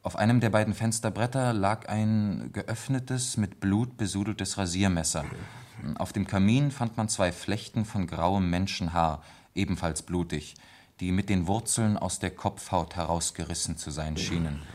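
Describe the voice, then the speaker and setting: A man's voice: sits low, normal speed, darkish tone, natural voice. A man playing a dutiful police lieutenant in a German radio play, formally and carefully reading out a report. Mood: formal, sober. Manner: formal, careful, hesitant between items.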